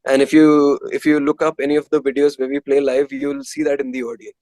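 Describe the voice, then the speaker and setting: A man's voice: on the high side, flat delivery, brisk, darkish tone, no room sound. A man with an Indian accent answering candidly as an interview guest, conversational and emphatic, with frequent filler phrases. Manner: emphatic, leans on filler phrases like "you know".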